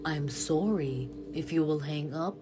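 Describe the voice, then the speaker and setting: Young woman acting a low-voiced, unruffled telephone operator. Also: unhurried, warm tone, a little hiss or hum. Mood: impassive. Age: young adult. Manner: polite, impassive, formulaic. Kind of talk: amateur radio play acting. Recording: dramatic music laid under the voice.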